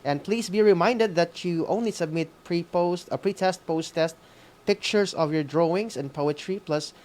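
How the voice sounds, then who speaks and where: A Filipino co-host of a radio school program giving announcements to students in a halting way, often ending phrases with a questioning "no?". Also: medium pitch, darkish tone. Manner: halting, often adds "no?" as a tag. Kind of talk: semi-scripted announcements to students on air.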